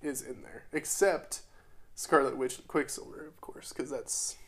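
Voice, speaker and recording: A man's voice: medium pitch, measured pace, slightly rough. A man with a slightly clogged-up voice chatting casually, often hesitating and trailing off unsure. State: congested, a bit clogged up. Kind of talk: casual chat.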